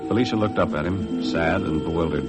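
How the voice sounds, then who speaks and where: Tough American boat captain, low pitch, dry wit, 1950s radio narration, music behind. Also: male voice, fairly quick. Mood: wry narrating. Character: wry, tough boat skipper narrating his own adventure. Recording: vintage radio recording with music.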